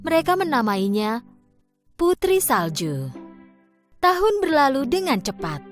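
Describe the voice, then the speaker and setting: A woman narrating a children's fairy tale in a lilting, expressive storybook manner. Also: high voice, measured pace. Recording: clear music bed underneath.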